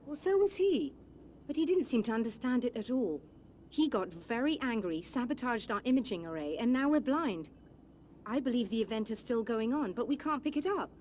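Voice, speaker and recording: Brisk woman scientist: highish, expressive, earnest, articulate, faint ambient noise. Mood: concerned, focused. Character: brisk, intelligent female scientist in a thriller game. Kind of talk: dramatic game acting.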